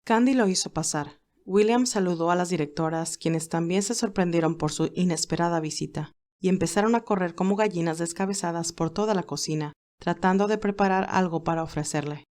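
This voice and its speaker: A woman's voice: on the low side, brisk, hardly any pauses, darkish tone. A woman narrating her own fan fiction in a scripted storytelling style.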